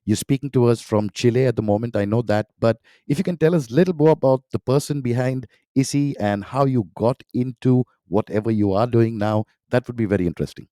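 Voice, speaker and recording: A man's voice: mid-range voice, a little fast, hardly any pauses, warm tone, no room sound, clean studio sound. A man hosting a podcast, welcoming a guest with an enthusiastic, effusive introduction and asking long questions. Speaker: podcast host.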